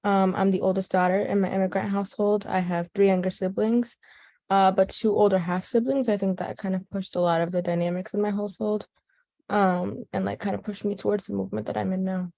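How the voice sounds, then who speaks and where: Mellow young American woman, reflective. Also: medium pitch, level tone, a little fast, vocal fry. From Chicago, US. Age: teens to young adult. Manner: casual, thoughtful, frequent "like". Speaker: youth organizer being interviewed. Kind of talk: reflective oral history interview.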